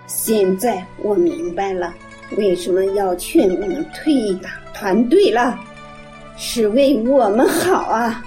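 Woman acting a slow, trusting townswoman. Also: mid-range voice, mellow, close to an everyday voice. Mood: grateful realization. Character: humble ordinary townswoman, grateful and trusting. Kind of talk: radio drama acting. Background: background music.

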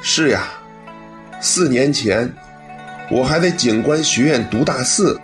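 A man's voice: mid-range voice, lively intonation, takes it slowly, soft background music. An actor playing a sincere, courteous young policeman in a radio drama, speaking with gratitude. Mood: warm recognition. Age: young adult. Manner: earnest, courteous.

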